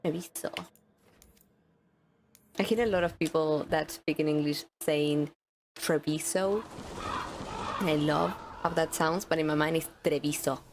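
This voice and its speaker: A woman's voice: sits low, steady tone, measured pace, long pauses, background noise. A woman streamer with a stuffy nose, chatting casually with viewers while playing a game. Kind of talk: live gaming stream chat.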